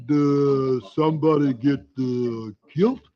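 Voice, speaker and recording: A man voicing a slow-witted, oafish bear with a halting delivery, in an old radio comic-strip reading. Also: medium pitch, soft background music, natural voice. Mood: dim puzzlement. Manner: halting, says "duh". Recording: dull, noisy vintage radio recording.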